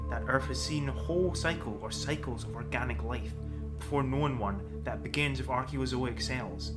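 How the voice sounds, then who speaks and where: An imperious male scientist played by an actor in a 1930s-style radio drama, arrogant and excitable. He speaks at a middling pitch, with music clearly playing behind him. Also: lightly acted. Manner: pompous, imperious. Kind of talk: 1930s radio drama acting, dictated telegraphic reports.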